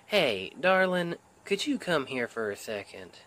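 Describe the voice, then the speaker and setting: A man's voice: a little high, very animated, fairly bright tone, rough recording. A man playing a sweet, protective Southern husband with a Southern US accent, speaking in a folksy, soothing way in an intimate ASMR roleplay. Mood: fond exasperation. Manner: folksy, tender, unhurried, soothing.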